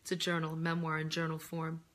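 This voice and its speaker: A middle-aged woman with a hoarse, deeper-than-usual voice from laryngitis, hosting a casual live chat and answering readers' comments in a gentle, reflective way with many pauses and ums. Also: completely flat delivery.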